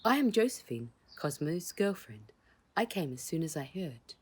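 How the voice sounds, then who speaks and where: A woman's voice: low voice, sing-song, moderate pace, darkish tone, slightly rough, full character voice. A woman voicing an elegant, gracious lady visitor in a storybook, chatty, genteel and confiding. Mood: earnest, worried.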